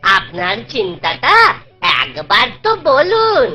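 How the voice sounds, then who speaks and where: An actor voicing a devoted, witty court jester who pleads and flatters respectfully in a Bengali children's cartoon. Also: mid-range voice, takes it slowly, breathy edge, soft background music, exaggerated voice. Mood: pleading.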